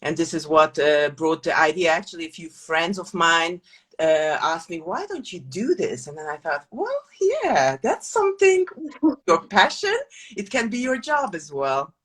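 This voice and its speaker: Lower-voiced woman interview guest. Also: big pitch swings, unhurried, fairly bright tone, slightly rough. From Hungary. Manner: hesitant, many fillers and restarts. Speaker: guest, food tour company founder. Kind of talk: casual interview talk.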